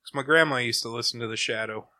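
A man's voice: medium pitch, quick pace, pushed and bright, no room sound. A man co-hosting a podcast in casual chat, often with short, wry interjections. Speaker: podcast co-host.

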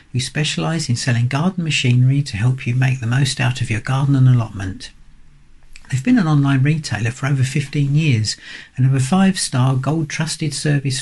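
A man's voice: mid-range voice, gravelly, a little mellow, breathy edge. A man reading a scripted podcast sponsor advertisement. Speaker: sponsor message reader.

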